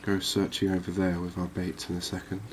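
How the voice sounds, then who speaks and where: A man giving quiet, cozy ASMR commentary on a game, unhurried and thinking aloud. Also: low voice, completely flat delivery, slight background noise. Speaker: gaming video host.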